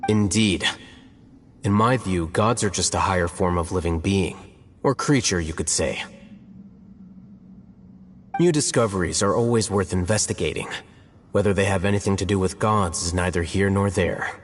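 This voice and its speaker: A man plays a detached scholar with a lowish, measured voice. His calm, dry delivery carries a long reverb, with faint game music behind. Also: lightly acted. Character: cool, aloof male scholar, detached and analytical.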